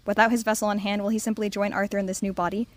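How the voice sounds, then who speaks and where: A woman's voice: mid-range voice, flat delivery, racing. A woman giving a prepared listener monologue, articulate and earnest. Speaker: listener sending a voice submission.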